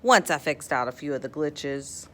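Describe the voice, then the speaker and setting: A woman narrates a picture book for children in a bright, forward voice. Her pitch moves in lively swings, and she leans into key words, stretching some out playfully for dramatic effect. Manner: animated, dramatic emphasis, playful drawn-out words. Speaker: storybook read-aloud narrator. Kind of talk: expressive children's picture book read-aloud.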